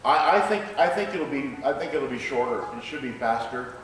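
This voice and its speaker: A man speaking as a screenwriter in a live question-and-answer session, with a halting, hesitant delivery. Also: medium pitch, expressive. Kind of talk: live Q&A after a staged reading. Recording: echoey room, distant mic, faint noise.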